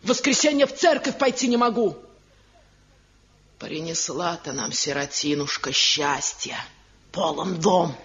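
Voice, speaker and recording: A woman's voice: deep voice, animated, unhurried. Bitter old village mother, nagging n reproachful, muffled old radio play. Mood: accusing, aggrieved. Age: older. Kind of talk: 1970 radio drama acting.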